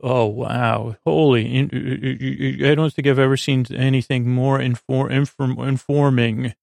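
Creaky-voiced man, rambling and halting. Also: medium pitch, steady tone, a little mellow, no echo, clean studio sound. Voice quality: creaky, gravelly. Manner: meandering, halting, stammering, drawn-out tangents. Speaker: podcast host. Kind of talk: rambling bedtime podcast monologue.

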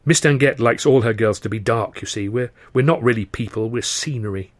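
A man's voice: mid-range voice, brisk, no room sound, close to an everyday voice. A man voicing a flighty, teasing young woman dancer in audiobook dialogue, rambling breezily. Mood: breezy. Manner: breezy, rambling, with tag questions.

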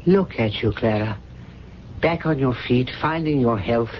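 A man's voice: mid-range voice, a little slow, natural voice. A man playing a courteous, anxious older butler, muffled. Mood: tender, sorrowful. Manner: formal, deferential, hesitant. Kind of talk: radio drama acting. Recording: dull, noisy 1970s radio broadcast.

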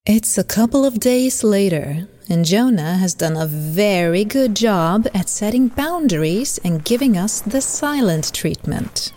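A woman playing a smug, bossy disembodied divine voice in a comedic audio drama, speaking in a measured, commanding way. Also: mid-range voice, animated, mellow, lightly acted. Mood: wry.

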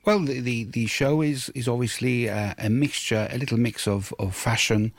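A man explaining things haltingly as a radio interview guest, often repeating words. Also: medium pitch, steady tone, dry recording. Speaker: radio guest, show producer. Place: radio studio.

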